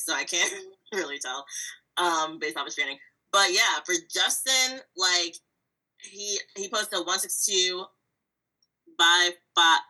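A woman's voice: on the low side, bright, forceful tone, poor audio. A woman from Virginia co-hosting a casual sports podcast, running through predictions in a chatty way with lots of "like" fillers. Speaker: podcast co-host.

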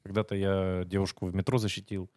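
A man's voice: sits low, flat delivery, brisk, darkish tone. A man in his thirties co-hosts a podcast, chatting casually and teasing his guest. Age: 30s. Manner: talkative, teasing. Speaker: podcast co-host.

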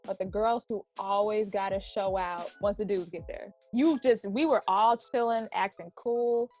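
A young woman co-hosting a podcast, chatting casually with friends, animated and slangy, laughing often. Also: medium pitch, unhurried, soft background music. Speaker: podcast co-host. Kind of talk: casual chat among friends.

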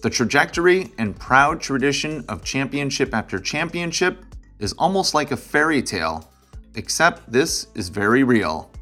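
A man's voice: medium pitch, normal speed. Connecticut man hosting an interview, music faint behind. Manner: polished, upbeat announcer-style intro, then relaxed questions. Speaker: podcast host. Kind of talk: interview host with scripted intro.

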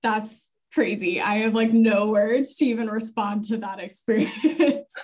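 A woman's voice: medium pitch, steady tone, a little slow, a little mellow. A woman with an American accent co-hosting a podcast in a friendly conversational style. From Rocky Mountain foothills. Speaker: podcast co-host, admissions advisor.

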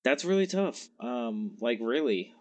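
A man's voice: mid-range voice, sing-song, measured pace, a little mellow. A man in his twenties co-hosting a casual podcast, rambling and joking with frequent laughs. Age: 20s. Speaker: podcast co-host. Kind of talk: casual chat.

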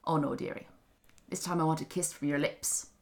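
A woman's voice: deep voice, steady tone, a little fast. A woman voicing a sly little man-like creature with an Irish accent, wheedling and patronising as in a fairy tale. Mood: knowing, bargaining. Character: small sly man-shaped creature, gleeful bargainer.

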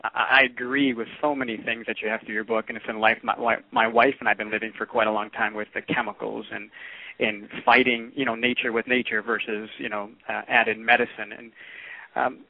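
A man hosting a conversational radio interview, speaking haltingly and repeating words as he goes. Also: lowish voice, level tone, gravelly, no room sound. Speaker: podcast interviewer. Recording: dry, tinny phone-like radio audio.

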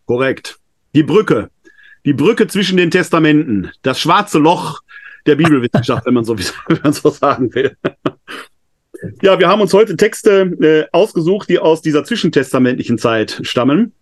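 A man's voice: on the high side, expressive, moderate pace. A man with a slight cold hosting a live webinar discussion, chatty and full of hesitations. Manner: chatty, many filler hesitations. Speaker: host, New Testament scholar.

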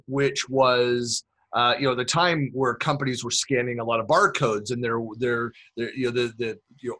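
Male podcast host, mid-pitched, talkative, loose and rambling delivery. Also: fairly bright tone. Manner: chatty, rambling with many fillers. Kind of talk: interview podcast.

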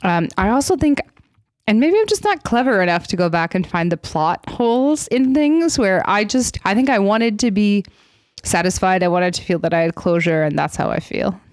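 A woman's voice: mid-range voice, lively intonation, normal speed, dry recording. A woman in her thirties co-hosting a podcast, a comedian with a dry, wry manner in casual chat. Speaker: podcast co-host, stand-up comedian.

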